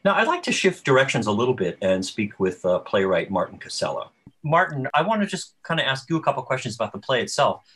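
A man's voice: medium pitch, big pitch swings, quick pace, a bit bright, husky. A man moderating a panel on a video call in a conversational interviewing style.

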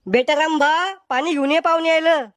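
A blunt, sarcastic village father from a comic cartoon skit, acted out by a man. The voice is artificially pitched up and sits high. He speaks with a rural Maharashtra Marathi accent, in a dry recording without room sound. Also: fairly even intonation, normal speed, fairly bright tone, no echo. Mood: matter-of-fact.

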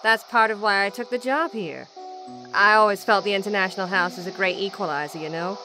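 A woman's voice: medium pitch, brisk, pushed and bright, faint music behind, close to an everyday voice. A woman playing a friendly, concerned college residence staffer in a radio drama. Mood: reassuring.

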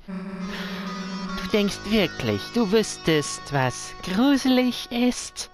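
A man's voice: sits high, sing-song, music in the background, pitched above the performer's own voice, exaggerated voice. A man voicing a tough teenage monster girl bully in a video game, mocking and menacing. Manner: slow, threatening, mocking.

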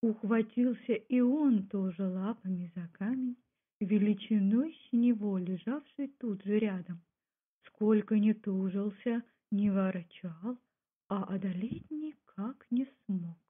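A woman with a husky voice giving a scripted storytelling narration. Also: slow. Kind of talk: scripted folk tale and documentary narration. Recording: muffled, low-fidelity phone-like sound.

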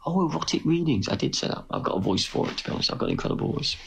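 A man's voice: medium pitch, rapid-fire, gravelly, breathy edge. A middle-aged English man chatting boastfully on a phone live stream, praising his own voice. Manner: boastful, self-flattering. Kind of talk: casual live stream chat.

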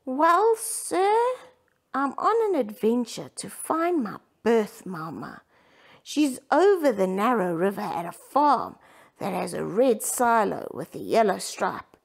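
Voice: medium pitch, sing-song, slow pace, vocal fry, exaggerated voice. A woman voicing a small female bunny, earnest and respectful, in a children's story. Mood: earnest, nervous. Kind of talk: children's animal story character voice.

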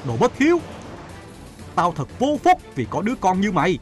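A man's voice: sits high, huge pitch swings, moderate pace, soft background music. A man playing a scheming, hot-tempered middle-aged father in a cartoon, with a theatrical delivery. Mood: furious. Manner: exclamatory, scolding, theatrical. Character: angry, scheming middle-aged father, scolding his son. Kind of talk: Vietnamese cartoon dub acting.

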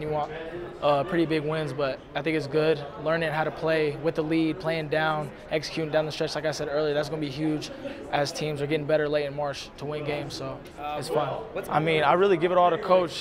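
A young man, a college basketball player speaking earnestly and upbeat in a post-game interview on a lapel mic. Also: fairly high pitch. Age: young adult. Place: indoor arena interview backdrop.

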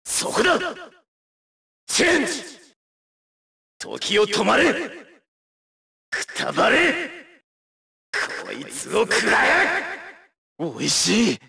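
An actor voicing a cool, forceful male fighter in short video game battle clips. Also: high-pitched, huge pitch swings, slow pace, gravelly, pauses often, breathy edge, no room sound, clean studio sound, exaggerated voice. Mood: fierce, commanding.

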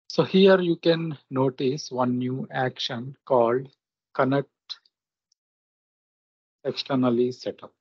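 Husky man narrating a halting software demo. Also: medium pitch, dry recording. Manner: halting, short instructional phrases. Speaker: software tutorial presenter. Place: screen recording voice-over. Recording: muffled lo-fi screen-recording audio.

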